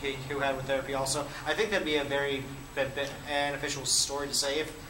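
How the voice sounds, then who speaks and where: A man moderating a panel puts a question to a guest, stuttering and repeating sounds in a flat, even tone. He is far from the microphone in an echoey hall, with steady noise clearly audible behind him. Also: medium pitch, quick pace. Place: lecture hall.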